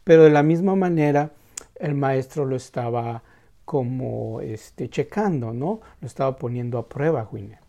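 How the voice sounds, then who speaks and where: A man telling a Zen story in a casual, halting way, full of restarts and tag questions. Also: medium pitch, lively intonation, creaky, warm tone, lo-fi sound.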